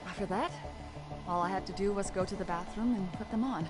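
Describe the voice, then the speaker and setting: A woman's voice: mid-range voice, a little fast, close to an everyday voice. A woman playing a smug, defiant young schemer in a video game, with a dismissive, matter-of-fact delivery. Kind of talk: English game dub dialogue. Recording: loud music behind.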